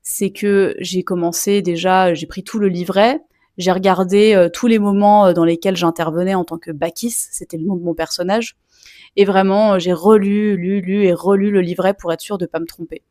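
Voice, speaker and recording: A woman's voice: on the low side, fairly even intonation, normal speed, dark tone, no echo, studio quality. A young woman, a mezzo-soprano student, telling a personal story to camera in a chatty way with lots of asides and fillers. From Sicilian heritage.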